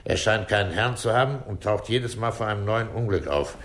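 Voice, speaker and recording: An actor playing a gruff, commanding, unruffled police commissioner with a curt, dry delivery in a 1960s German radio crime drama. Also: male voice, fairly low pitch, fairly quick, fairly bright tone, slight background noise, lightly acted. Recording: roomy, echoing vintage radio play.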